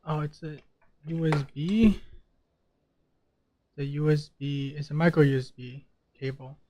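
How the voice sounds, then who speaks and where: A man's voice: fairly high pitch, steady tone, a little slow, mellow. A man giving casual, rambling commentary as he streams a game, in an offhand way. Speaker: gameplay streamer.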